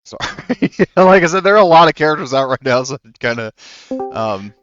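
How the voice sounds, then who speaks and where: A man acting as game master in a tabletop game, talking with frequent pauses and fillers. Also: fairly high pitch, lively intonation, highs cut off. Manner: hesitant, lots of fillers and restarts.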